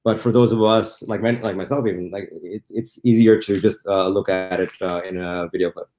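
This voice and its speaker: Lowish-voiced man explaining casually. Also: steady tone, a little fast, darkish tone. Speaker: guest tech commentator. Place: video call livestream.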